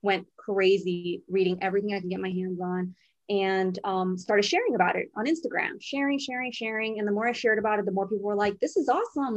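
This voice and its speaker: A woman in her thirties from Brazil, a money coach and podcast guest, telling her story in an animated, chatty way and laughing easily. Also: medium pitch, dry recording. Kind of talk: casual interview storytelling. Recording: dull, slightly lo-fi sound.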